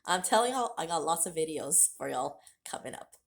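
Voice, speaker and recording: A woman in her late thirties talks straight to her viewers, candid and conversational. Her voice sits fairly low but swings widely in pitch as she goes. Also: animated, brisk. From United States. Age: late 30s. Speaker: vlogger. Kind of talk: personal storytelling to camera.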